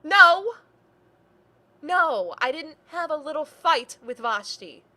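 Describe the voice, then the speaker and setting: A proud, defiant young woman in a stage drama played by an actress, high and bright in voice. She is intense and bitter, and her delivery swings widely in pitch. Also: animated, measured pace, full character voice. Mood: furious, defiant. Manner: intense, declamatory, sarcastic. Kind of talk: theatrical stage play reading.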